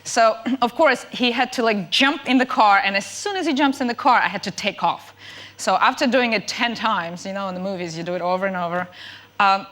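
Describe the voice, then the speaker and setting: A woman's voice: medium pitch, a little fast, fairly bright tone. A woman in her thirties from Ukraine with a Ukrainian-French accent, telling stories as a chat show guest with many ums. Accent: Ukrainian and French mix. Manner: chatty, hesitant storytelling with many ums. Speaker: guest actress. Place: TV studio.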